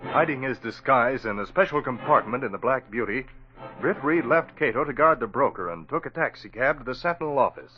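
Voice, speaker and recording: A man's voice: medium pitch, expressive, brisk, natural voice. A man playing the narrator of a radio serial, reading scripted dramatic narration in 1940s style. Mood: dramatic, brisk. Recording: muffled vintage radio recording. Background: music.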